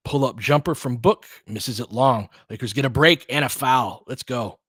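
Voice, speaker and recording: A man's voice: medium pitch, normal speed, a bit bright, breathy edge, no echo, clean studio sound. A man calling live basketball play-by-play with casual analysis, informal and openly rooting for his team like a fan. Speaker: play-by-play commentator and host.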